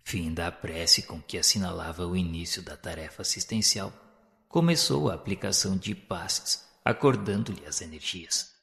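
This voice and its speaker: A man giving a solemn, measured narration of a spiritual novel in a radio drama. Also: low voice, gravelly, a bit bright, big-room sound. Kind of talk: scripted literary narration.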